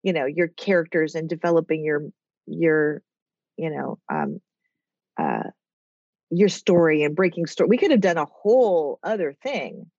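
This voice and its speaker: A woman's voice: sits low, animated, measured pace, a little mellow, no room sound, lo-fi sound. A woman guest in a casual interview, talking in a rambling way with a nasal voice. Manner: rambling, chatty. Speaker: author and executive guest.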